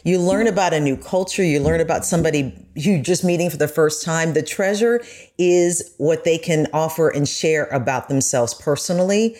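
Female guest, deep register. Reflective, sincere, enthusiastic conversational delivery. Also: moderate pace, a little mellow. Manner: earnest, enthusiastic. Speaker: podcast guest, journalist. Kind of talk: casual reflective discussion.

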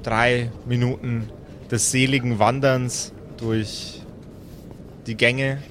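A man narrating an improvised fantasy tabletop audio play as game master, deliberate, pausing between phrases. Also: mid-range voice, little pitch movement, fairly bright tone, a little hiss or hum.

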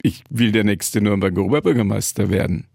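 A man interviewing a guest, asking direct, brisk questions. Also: fairly low pitch, vocal fry, a little mellow, slightly rough, no echo, clean studio sound.

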